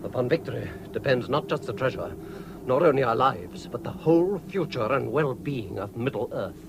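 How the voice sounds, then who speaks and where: A man's voice: mid-range voice, animated, normal speed, creaky, heavy background noise. A man playing a grave, commanding wizard warrior in an audio drama, declaiming with urgency. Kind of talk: radio drama acting. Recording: loud music under the voice. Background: loud music.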